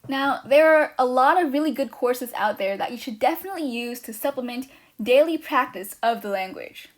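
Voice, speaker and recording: A woman with a slightly higher voice introduces her language course. She talks quickly and eagerly, with a touch of nervous energy, reading from a prepared script. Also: animated. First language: not Chinese. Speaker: language teacher, channel host.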